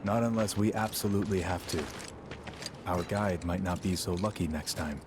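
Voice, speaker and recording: A man's voice: low-pitched, steady tone, moderate pace, noisy background, natural voice. A man playing a cool, commanding elite soldier in a video game cutscene, terse and dryly composed.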